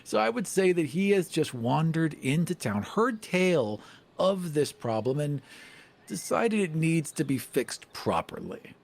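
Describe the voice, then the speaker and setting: A man with a husky voice improvising as a player in a tabletop game, often in mock-solemn, formal phrases. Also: on the high side, big pitch swings, a little slow. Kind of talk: improvised tabletop role-play with casual narration.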